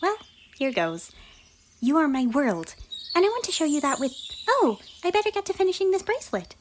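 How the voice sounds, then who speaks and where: A woman voicing a chirpy, affectionate young pony in soft, gentle ASMR roleplay, often slipping toward a whisper. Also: high-pitched, sing-song, a little fast. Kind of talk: roleplay ASMR character dialogue. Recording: noisy, birdsong and outdoor ambience behind. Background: birdsong and nature ambience.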